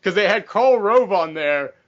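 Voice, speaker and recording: A man hosting a podcast, chatting casually and opinionatedly, telling stories in an animated, profane way. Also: high voice, unhurried. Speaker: podcast host. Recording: dull lo-fi voice recording.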